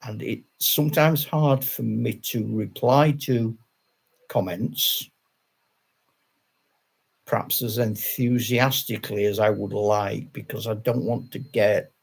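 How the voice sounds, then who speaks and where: A man's voice: lowish voice, steady tone, slow pace, creaky, slightly rough. An older man with a Yorkshire accent, chatting to his channel viewers in a rambling, self-deprecating way, with frequent chuckles. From Yorkshire, England. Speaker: wargaming channel host. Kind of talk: casual rambling monologue to camera.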